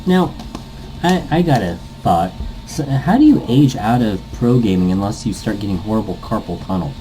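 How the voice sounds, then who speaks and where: Low-voiced, mellow man, casual gaming commentary. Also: expressive, moderate pace, faint music behind, poor audio. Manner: rambling, thinking aloud. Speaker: host of a visual novel playthrough series. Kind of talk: casual gaming commentary mixed with reading game text aloud.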